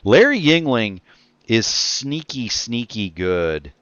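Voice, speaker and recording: A man calling a virtual stock car race as play-by-play commentator, quick and excitable on the action, his voice getting tired. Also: medium pitch, no room sound, dull audio. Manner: rapid excited play-by-play, self-correcting. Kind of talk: sim racing commentary.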